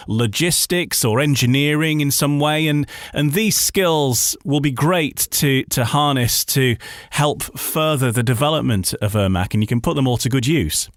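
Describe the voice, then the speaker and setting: A man's voice: mid-range voice, no room sound, clean studio sound. A man hosting a radio interview in a conversational style, putting questions to a guest.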